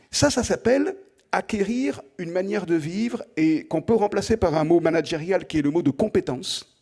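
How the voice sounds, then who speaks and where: A middle-aged male professor, a native French speaker, lecturing in a slightly husky voice that sits a little high for a man. He talks to the students conversationally, asks them direct questions and repeats words while he works out his ideas. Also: a little mellow. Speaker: professor giving an opening lecture. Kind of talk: lively spoken lecture to students.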